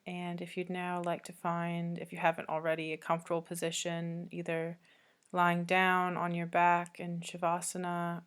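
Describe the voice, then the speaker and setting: A woman with a low voice leads a guided yoga meditation. Her delivery is very flat and steady, with little melodic movement. She gives gentle, calming instructions and leaves long pauses between phrases. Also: smooth. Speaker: yoga nidra teacher.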